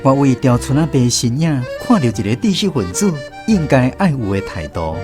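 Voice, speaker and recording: Taiwanese guy narrating a radio story, really expressive up and down, music under him. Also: medium pitch, normal speed. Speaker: narrator and host. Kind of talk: scripted radio drama narration.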